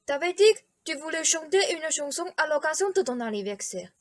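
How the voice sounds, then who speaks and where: A woman's voice: high-pitched, big pitch swings, fairly quick, no echo, clean studio sound. A woman playing an encouraging, persuasive royal father in a radio play, coaxing a child. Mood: urging.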